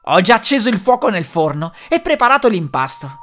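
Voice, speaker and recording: A woman's voice: mid-range voice, big pitch swings, a little fast, close to an everyday voice. A woman voicing a cruel, half-blind old witch who barks harsh orders and scolds. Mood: scornful.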